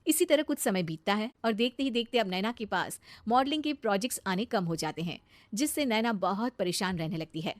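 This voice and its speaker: A woman's voice: medium pitch, expressive, a little fast, no room sound. A woman narrating a scripted cartoon moral story as its storyteller.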